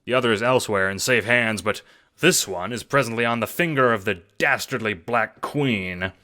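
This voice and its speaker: Brash, scheming gangster, forceful voice. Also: male voice, on the low side, normal speed. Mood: eager, scheming. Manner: jovial, cocky, old-time gangster talk. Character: scheming, brash, egotistical male gangster adventurer. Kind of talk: audio drama acting.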